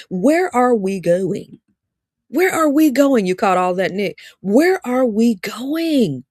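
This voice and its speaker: A woman in her fifties with a warm Southern Alabama accent delivers an emphatic, sermon-like motivational talk to a live online audience. She leans into repetition, saying key lines twice for weight. Her voice sits mid-range and sounds dry and close, with no room echo. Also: mid-range voice. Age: 50s. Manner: emphatic, preacher-like, repeats lines for stress. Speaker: relationship speaker.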